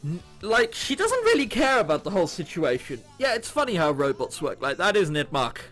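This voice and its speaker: A man's voice: high-pitched, huge pitch swings, brisk, fairly bright tone. A man reading a visual novel aloud, with sarcastic asides and swearing. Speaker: let's play host. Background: music.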